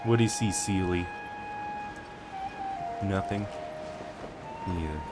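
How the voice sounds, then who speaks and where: Man, lowish voice, varied intonation, casual gamer commentary, low-key, thinking aloud, loud game music behind. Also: slow. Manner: low-key, musing to himself. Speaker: gamer playing a story quest.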